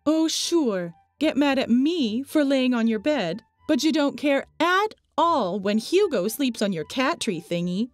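A woman's voice: high voice, big pitch swings, a little slow, few pauses, dry recording, faint music behind. A woman voicing a playful, dim female dog in a comic dub, theatrical and teasing. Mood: indignant. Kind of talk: comic dub character acting.

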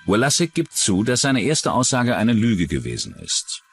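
A man's voice: fairly low pitch, wildly swooping pitch, moderate pace, soft background music. A man narrating a true-crime documentary from a script as its narrator.

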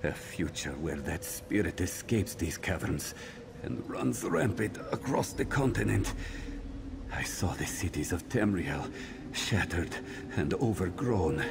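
Casting: blunt, embittered game warrior; deep, slow, gravel-throated, urgent, clear background noise. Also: male voice, full character voice. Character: gruff, embittered male fighter, shaken battle survivor. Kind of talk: scripted game character dialogue. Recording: noisy game ambience behind.

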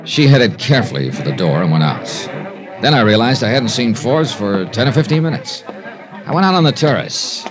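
A hard-boiled American investigator played by a man in a 1950s radio drama. His wry narration moves up and down in pitch, over dramatic music on an old broadcast. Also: very animated, normal speed, lightly acted. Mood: tense narration. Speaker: lead actor and narrator of a detective series.

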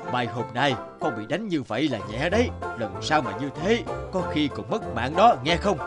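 A man acts out a stern old village healer from a cartoon folk tale. He scolds and lectures like a teacher, with very lively swings in pitch, over clear background music. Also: moderate pace. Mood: exasperated scolding. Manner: scolding, didactic. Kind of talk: dubbed cartoon dialogue.

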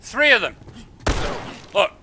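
A man giving live gameplay commentary as a streamer, grumbling and explaining haltingly. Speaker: game streamer. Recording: game gunfire and noise behind.

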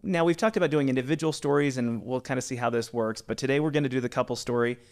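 A man's voice: medium pitch, quick pace. A man in his forties hosting a podcast, upbeat and chatty with many fillers, speaking into a studio mic in a small studio. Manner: upbeat, with frequent "uh" fillers and restarts. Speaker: podcast host. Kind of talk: casual podcast interview. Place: small studio with brick wall, indoors. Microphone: studio mic on boom arm.

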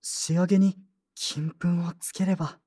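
A polite, earnest young man in a radio drama. The voice is soft-spoken and somewhat low, with a dark, relaxed tone and sincere delivery. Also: measured pace. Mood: reflective narrating. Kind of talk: Japanese audio drama acting and first-person narration.

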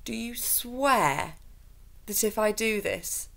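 A woman's voice: mid-range voice, takes it slowly, vocal fry, a bit bright, voice pushed up. Woman voicing an honest, humble carpenter. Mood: quiet, fearful. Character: honest, polite working-man carpenter, humble and wary.

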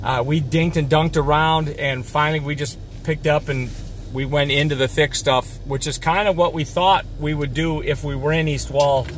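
Rambling fishing guide, man, slightly high voice, casual report recorded outdoors with noise behind. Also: steady tone, normal speed. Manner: rambling, chatty, with many fillers and restarts. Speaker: fishing guide and tournament angler.

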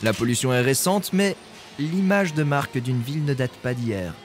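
A man's voice: medium pitch, animated, moderate pace, faint music behind, natural voice. A man playing a self-assured, eccentric tycoon-scientist in a comedic sci-fi radio play, pompous, theatrical and evasive. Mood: breezily confident.

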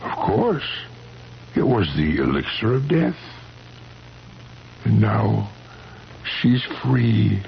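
An anguished old man in a radio mystery, his low voice creaky and halting. He draws out his words with heavy drama. The old broadcast recording is muffled, with faint hiss. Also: big pitch swings, full character voice. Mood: stricken, fervent. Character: anguished old man accused over his young wife's death. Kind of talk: 1970s radio drama acting.

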